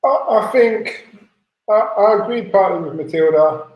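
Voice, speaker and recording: A man's voice: sits high, expressive, a little slow, darkish tone. A man giving his opinion as a panelist in a podcast discussion. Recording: echoey room, dull lo-fi audio.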